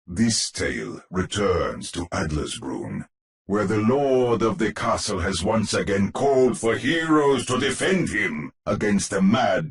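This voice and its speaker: Grandiose gothic game narrator, slow measured delivery, gravelly fry, ominous, pompous, theatrical. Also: male voice, very deep voice, full character voice. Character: grandiose male storyteller of a spooky gothic tale. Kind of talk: theatrical gothic horror storytelling.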